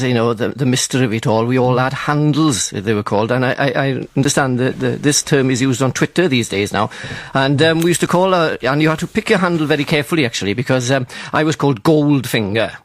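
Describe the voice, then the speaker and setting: A man's voice: medium pitch, fast, hardly any pauses, no room sound. A middle-aged man, a radio presenter, speaking as a guest in a live radio interview. He talks in a chatty, nostalgic way, with many fillers and restarts.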